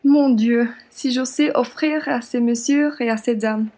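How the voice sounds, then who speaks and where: Woman acting young female traveller, fairly high voice, courteous, generous, deferential, radio drama. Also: unhurried, dark tone, natural voice. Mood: eager to help. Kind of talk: French radio play acting.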